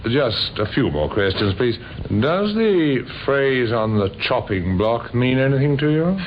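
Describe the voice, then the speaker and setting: An actor playing a courteous, weary police inspector in an old radio crime drama, speaking in a halting, probing way. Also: male voice, mid-range voice, big pitch swings. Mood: polite, probing. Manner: hesitant, polite, halting with many uhs. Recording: dull, noisy old radio recording.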